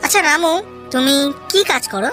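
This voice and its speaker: Wealthy, generous merchant for a storybook tale, acted by a woman: confident, friendly, high-pitched, over clear music. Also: expressive, normal speed, a bit bright. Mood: generous, assured. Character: grateful, generous wealthy city businessman. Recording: music behind the voice.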